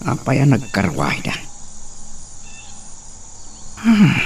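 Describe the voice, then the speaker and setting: A man's voice: mid-range voice, huge pitch swings, drawn-out words, darkish tone, distant mic, slight background noise, full character voice. A man playing a wary, thoughtful old rural father in an Ilocano radio drama. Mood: musing. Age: older.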